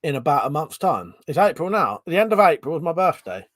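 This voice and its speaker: A man's voice: a little high, fairly quick, dry recording. Guy in his 40s chatting on a home stream, swears a lot, dry close mic, voice all over the place. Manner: swearing, laughing, animated banter. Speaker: live streamer. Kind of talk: casual live stream chat reading comments. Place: home room.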